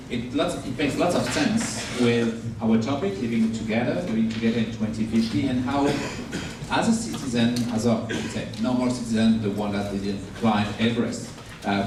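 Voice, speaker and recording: A man hosts a conference and introduces the next speaker, his phrasing hesitant and non-native. He is heard from far off in a very echoey hall with clear background noise. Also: mid-range voice. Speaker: conference host. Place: lecture hall. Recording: very echoey, distant, noisy room sound.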